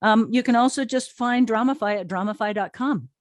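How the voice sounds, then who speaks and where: A woman's voice: on the high side, brisk, no echo, studio quality. A woman giving conversational interview answers in an enthusiastic, emphatic and informal way. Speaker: interview guest, audio drama streaming co-founder.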